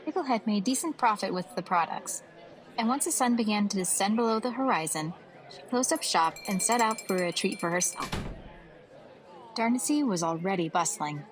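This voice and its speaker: A woman's voice: mid-range voice, animated, moderate pace, some chatter behind. A woman narrating a scripted fantasy story as the storyteller of an audio drama.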